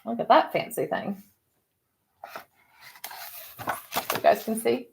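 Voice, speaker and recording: A woman reading a picture book aloud to children, expressively and with dramatic pauses, adding explanatory asides in a teacher's manner. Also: on the high side, huge pitch swings, creaky, a little mellow, poor audio.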